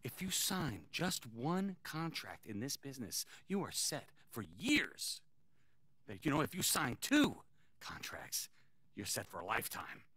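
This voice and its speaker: An actor playing a fast-talking, overeager small-time sports agent with an emphatic, grandiose sales pitch in a video game cutscene. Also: male voice, huge pitch swings, sharp tone, husky, no echo, heavily acted. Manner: emphatic, theatrical, salesman-like pep talk.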